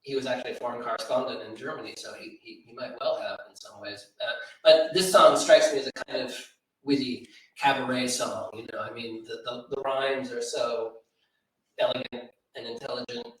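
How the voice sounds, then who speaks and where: A man talks informally to a literary audience in a very reverberant room, picked up a little from afar. His pitch is mid-range, and he speaks in a thoughtful, halting way that wanders through asides. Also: steady tone. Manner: hesitant, digressive, thoughtful. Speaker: poetry editor and event speaker. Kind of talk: informal spoken commentary at a literary event. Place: large reverberant room at a live event. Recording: echoey room, distant microphone.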